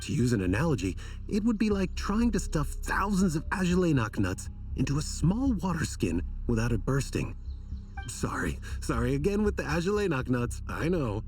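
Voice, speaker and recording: Wordy flustered desert scholar in a game, swoopy mid voice, faint music under it. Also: male voice, on the high side, big pitch swings, moderate pace, a little mellow, distant mic. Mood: earnest, troubled. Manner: wordy, self-conscious, theatrical. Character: earnest, troubled adult male desert scholar, apologetic. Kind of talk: video game voice acting.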